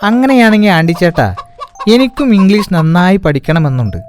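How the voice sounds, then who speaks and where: A man voicing a sly chicken-thieving fox in a cartoon, flattering and scheming with exclamatory mock admiration. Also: high-pitched, fairly quick. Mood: wheedling. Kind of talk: cartoon dialogue. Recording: music behind the voice.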